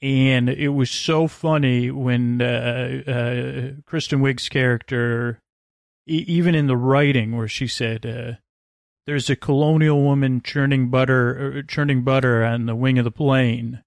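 A man's voice: mid-range voice, steady tone, a little slow, gravelly, dry recording, clean studio sound. A man hosting a bedtime podcast in a meandering, stammering monologue, with a mumbling, mush-mouthed delivery. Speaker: podcast host. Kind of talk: rambling, tangent-filled bedtime podcast monologue.

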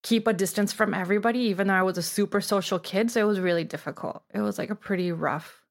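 Woman, middle-aged, from Mexico. Interview guest, informal storyteller, medium pitch, lots of "like". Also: steady tone, quick pace, vocal fry. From Tijuana, Mexico. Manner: informal, peppers speech with "like". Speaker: guest, artist and designer. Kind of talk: casual personal storytelling interview.

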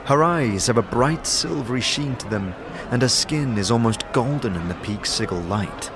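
A man's voice: mid-range voice, lively intonation, normal speed, over music. A man reading scripted second-person narration for a fantasy audio drama.

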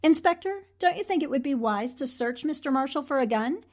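An actress playing a poised, quick-witted newspaperwoman, arch and polite, in 1940s radio drama style. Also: high voice, expressive, darkish tone. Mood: coolly knowing.

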